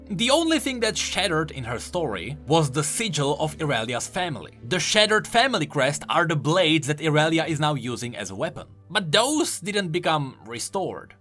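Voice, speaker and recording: Bright, very animated male explainer. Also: a little high. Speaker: video game lore YouTuber, host. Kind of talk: scripted explainer narration. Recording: music bed under voice-over. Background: background music.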